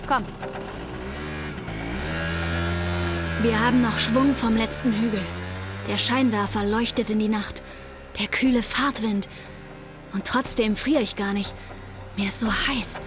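A woman's voice: fairly high pitch, steady tone, moderate pace, close to an everyday voice. A woman playing a restless, defiant teenage girl in love, confiding and emotionally charged in a German radio drama. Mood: defiant, exhilarated. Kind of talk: German radio drama, intimate first-person narration and dialogue. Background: music under the voice.

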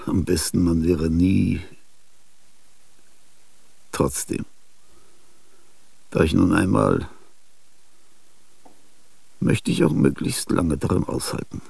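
An older man acting in a radio play, with a husky, gravelly voice and a halting, dreamlike monologue that slips into whispers. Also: low-pitched, big pitch swings, dark tone, no room sound, distant mic. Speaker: radio drama actor.

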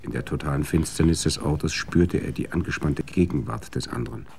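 A man narrating a radio drama in a measured, sober storytelling manner. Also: rumbling low, vocal fry, darkish tone, slight background noise.

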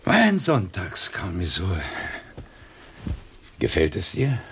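An actor playing a proud, bitter old nobleman in a German radio play, with a gravelly, husky voice and a pompous, brooding manner. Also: deep voice, huge pitch swings, takes it slowly, fairly bright tone, slight background noise, full character voice. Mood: imperious, generous. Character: proud, bitter old nobleman over seventy, worldly gourmand.

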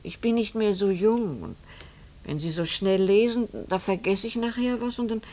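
An actress playing an elderly, devoted mother in a German radio play, chatty and wistful, with halting, tender phrasing. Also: medium pitch, dark tone, natural voice. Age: older. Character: elderly devoted mother, pious, chatty, anxious for her son.

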